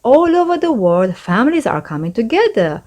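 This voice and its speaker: Woman reading as a lively, fond grandpa pig. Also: a little high, normal speed, voice pushed up. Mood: fond, explaining. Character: warm grandpa pig, explaining things fondly.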